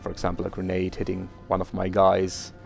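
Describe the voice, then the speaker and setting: A man's voice: deep voice, completely flat delivery, a little fast, vocal fry, a little mellow, soft background music. A German man speaking English as a second language, hosting a game let's play and carefully explaining settings step by step with small hesitations. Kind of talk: video game tutorial commentary.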